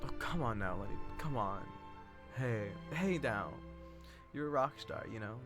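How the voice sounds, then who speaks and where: A man reading the part of an easygoing thirty-year-old small-town doctor in a stage play, plain and halting. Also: medium pitch, lively intonation, natural voice. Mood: consoling. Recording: background music behind the voice.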